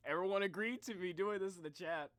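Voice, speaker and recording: Young adult male, streamer, casual reaction chat, bright sharp timbre, very dry recording. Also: sits high, moderate pace, dry recording. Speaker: streamer reacting to game videos.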